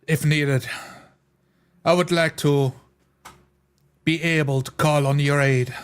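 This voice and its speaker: A man's voice: medium pitch, clean tone. A man playing a tabletop roleplaying game, narrating his character's actions and speaking in character.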